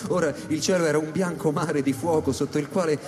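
A man giving first-person dramatic narration in a radio play, deliberate and suspenseful. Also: on the high side, steady tone. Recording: echoey hall reverb, music behind.

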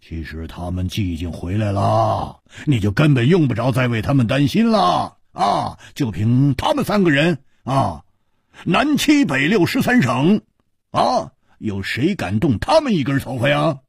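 Man acting a husky, hearty drinking adventurer. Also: mid-range voice, very animated, a little slow, dry recording, studio quality, pitched above the performer's own voice, exaggerated voice. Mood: teasing, excitable. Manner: sighing, muttering, full of interjections. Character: hearty, hard-drinking adventurer man, blunt, sentimental, excitable. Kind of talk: audiobook character voice.

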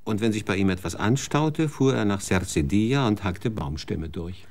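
A world-weary male TV executive in a radio play, with a low voice and a blunt, sardonic way of talking. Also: expressive, moderate pace, darkish tone. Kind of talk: radio drama acting.

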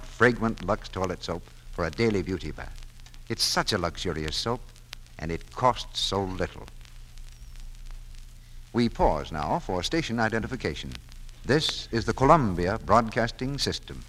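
A man's voice: lowish voice, very animated, a little slow, fairly bright tone, low-quality recording. A man announcing and selling in scripted 1940s radio style, polished and emphatic. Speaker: announcer, pitchman. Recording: vintage 1940s radio, faint noise.

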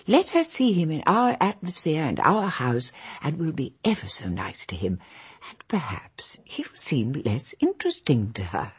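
An actress playing a genteel, anxious, tactful old English grandmother in a radio drama, with a husky, breathy voice. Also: deep voice, wildly swooping pitch, a little slow, exaggerated voice. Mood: worried, confiding. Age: older.

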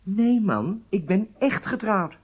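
A man's voice: high voice, lively intonation, slow pace, breathy edge, exaggerated voice. An actor playing a charming, self-assured agent in his late thirties, speaking with casual nonchalance in a radio drama. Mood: pleased, insistent. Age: 30s.

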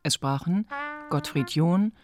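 A woman with a low voice announces radio drama credits. She reads slowly from a script, with brassy music clearly playing behind her.

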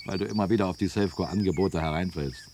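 An actor playing a sardonic, whisky-fond grumbler of a neighbor in a German radio play, mocking and ironic. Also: male voice, low voice, quick pace, darkish tone. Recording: noisy archival radio play recording.

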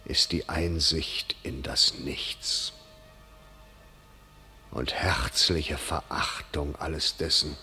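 An actor playing a proud, bitter English general dying on a medieval battlefield, declaiming classical German verse solemnly. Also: male voice, extremely low pitch, pushed and bright, exaggerated voice. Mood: fading, disdainful.

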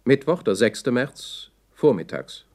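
A man's voice: medium pitch, sing-song, slow pace, darkish tone. A man making terse scripted announcements as a chronicler in a radio play. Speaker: announcer of dates and times.

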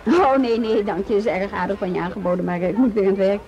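An actress playing a woman police officer in a radio drama, brisk and modest, brushing off praise. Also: mid-range voice, warm tone, dull audio, close to an everyday voice. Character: woman police officer, former sociology student.

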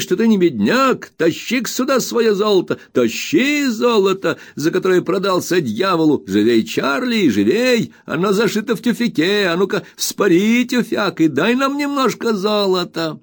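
A man's voice: high voice, big pitch swings, moderate pace, few pauses, pitched above the performer's own voice, full character voice. A man voicing a gang of jeering boys. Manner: mocking, jeering chant.

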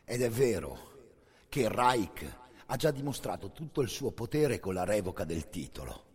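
A man delivers dramatic stage narration, grave and deliberate. His slow phrasing rises and falls widely, and his voice is somewhat husky. It carries a long hall reverb. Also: mid-range voice, a little mellow. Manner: grave, measured, deliberate pauses. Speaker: actor-narrator. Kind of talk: dramatic theatrical narration, addressed in second person.

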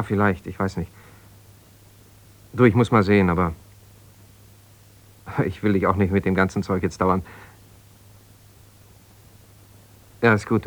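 An actor playing an aggrieved, jealous husband, an ambitious lawyer, in a naturalistic radio drama, talking in a halting, colloquial, self-justifying way as if confiding on the phone. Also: male voice, low voice. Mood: subdued, hesitant.

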